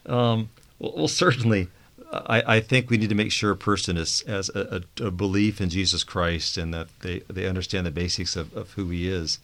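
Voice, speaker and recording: Low male panelist and Bible teacher, halting restarts, explanatory. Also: steady tone, fairly bright tone. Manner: repeats words while thinking, adds tag questions. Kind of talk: panel Bible study discussion.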